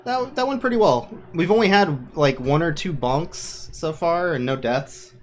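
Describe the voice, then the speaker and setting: A man's voice: fairly high pitch, animated, measured pace, slight background noise. A man giving casual, wry, offhand commentary on a live video game speedrun. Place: live gaming event stream with game music behind.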